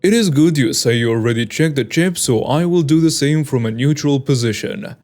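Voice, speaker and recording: A man voicing a calm, procedural official who speaks firmly and matter-of-factly. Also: medium pitch, fairly quick, soft background music, close to an everyday voice. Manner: firm, matter-of-fact.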